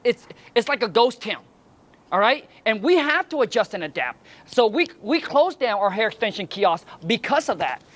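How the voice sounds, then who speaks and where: A man's voice: extremely high pitch, fairly bright tone. A man from Vietnam, giving motivational business advice to camera in an earnest way, often tagging his points with "right?" and "my friend.".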